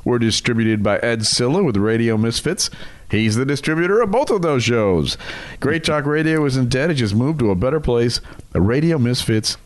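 A man's voice: medium pitch, animated, moderate pace. A man hosting a podcast in a casual, jokey way, chatting informally and laughing easily. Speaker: podcast host and interviewer. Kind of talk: casual banter and show promos.

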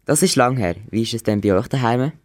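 A man's voice: medium pitch, animated, brisk, no echo. A teenage male student presenting a scripted radio show.

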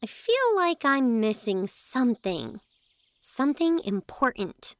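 A woman playing a curious, imaginative young girl in a family audio drama, teasing and excitable. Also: on the high side, takes it slowly, no room sound.

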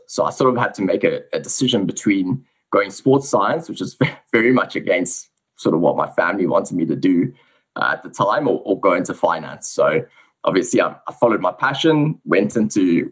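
A man with a South African accent, a guest researcher giving a relaxed, explanatory account of his background on a podcast. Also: on the low side, husky. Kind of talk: casual podcast interview.

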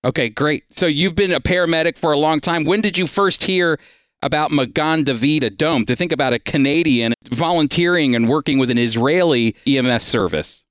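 A man's voice: on the high side, brisk, fairly bright tone, no room sound, studio quality. A man hosting a radio program, presenting introductions and interviewing a guest. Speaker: radio show host and Bible teacher.

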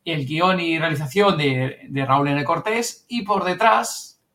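A man's voice: fairly high pitch, slow, pushed and bright. A man from Spain with a Spanish accent, chatting casually and colloquially as a podcast host, rambling as he goes. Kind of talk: casual chat recounting events.

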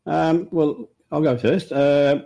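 A man's voice: fairly high pitch, slow, gravelly, warm tone, lo-fi sound. A man co-hosting a footy podcast, thoughtful and a little hesitant. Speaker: podcast co-host. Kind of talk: casual sports podcast chat.

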